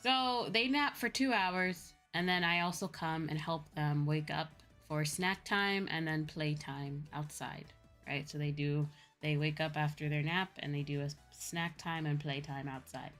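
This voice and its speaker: Midwest american woman streamer just chatting and telling stories, lowish voice, faint music behind her. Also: a little slow, fairly bright tone. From Midwestern United States. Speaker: streamer and VTuber host. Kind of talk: casual chatty livestream storytelling. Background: background music.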